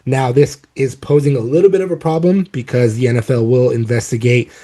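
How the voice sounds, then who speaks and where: A man's voice: medium pitch, fairly even intonation, a little fast, mellow. A man with a cold, his voice a little off, hosting a casual sports podcast and rambling with many ums and restarts. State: has a cold, voice a bit off. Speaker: podcast host.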